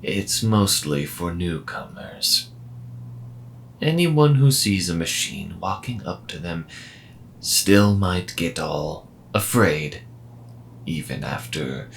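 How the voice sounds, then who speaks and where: Friendly robot mechanic for audio roleplay male actor: calm, wry, very unhurried, creaky low register, faint background noise. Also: heavily acted. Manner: measured, unhurried, gently wry. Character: friendly robot mechanic, calm, dry-humoured desert rescuer. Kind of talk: slow intimate audio roleplay acting.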